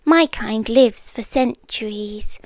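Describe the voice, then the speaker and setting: Woman acting as ancient yandere creature girlfriend, British accent, high soft voice, half-whispered, close dry ASMR sound, wryly possessive. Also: slow, no room sound. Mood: wistful, possessive. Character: possessive ancient long-tailed nonhuman yandere girlfriend, centuries old. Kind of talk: intimate ASMR roleplay.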